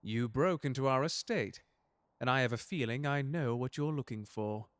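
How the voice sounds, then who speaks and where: A man playing a suave, unflappable butler in an audio roleplay drama, speaking in formal, genteel, precise language with quiet menace. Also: medium pitch, normal speed, no echo, lightly acted. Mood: smooth menace.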